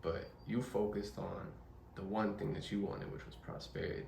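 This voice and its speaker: Low, gravelly, flat-toned interviewer. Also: male voice, moderate pace, a little hiss or hum. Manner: summarizes guest's answers back, leading questions. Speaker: interviewer host. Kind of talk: interview questions. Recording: roomy, distant mic with faint ambience.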